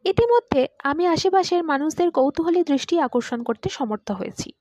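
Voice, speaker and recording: Woman, high-pitched, expressive storytelling narration, dry room, slightly muffled recording. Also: moderate pace, no room sound. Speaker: story reader. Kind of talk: expressive scripted narration of a literary short story.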